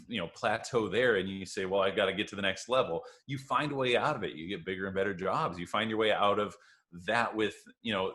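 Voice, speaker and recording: A man's voice: lowish voice, fairly bright tone. A man, a health coach and guest, talking enthusiastically and emphatically, often checking in with "right?" and calling his host "man". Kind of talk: conversational interview.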